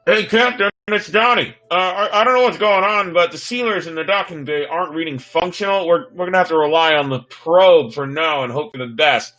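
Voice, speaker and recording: A man's voice: on the high side, brisk, hardly any pauses, bright, forceful tone, voice pushed up. A man voicing an eager, nervous spaceship crewman who stammers and defers in a sci-fi story reading. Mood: worried.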